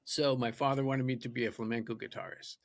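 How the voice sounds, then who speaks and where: A man's voice: medium pitch, level tone, quick pace, gravelly, no echo, clean studio sound. A man whose first language is Japanese, a podcast guest telling his story in an earnest, eager way. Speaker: guest, data scientist and musician. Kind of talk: podcast interview.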